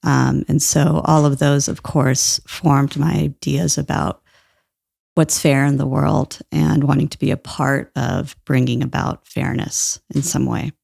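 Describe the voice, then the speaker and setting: Middle-aged female guest, heavy vocal fry, thoughtful and relaxed, close dry mic. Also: extremely low pitch, unhurried, dry recording. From Brazil. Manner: thoughtful, warm, laughs easily. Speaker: guest, employment lawyer. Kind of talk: casual interview talk.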